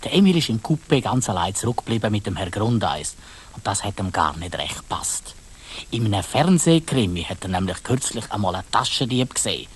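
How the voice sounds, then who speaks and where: A man's voice: fairly low pitch, sing-song, brisk, breathy edge. A man with a Swiss German accent narrating a children's story in a colloquial way, addressing his listeners directly.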